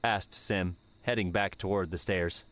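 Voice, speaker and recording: A man's voice: deep voice, steady tone, unhurried, a bit bright. A man reading dramatic scripted fiction as an audiobook narrator.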